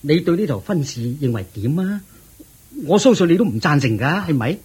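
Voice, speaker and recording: A man's voice: a little high, very animated, normal speed, darkish tone, slightly rough, exaggerated voice. A man with a Hong Kong Cantonese accent playing a proud, worried wealthy father who pleads and frets in a radio drama. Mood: fretful. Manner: pleading, fretful.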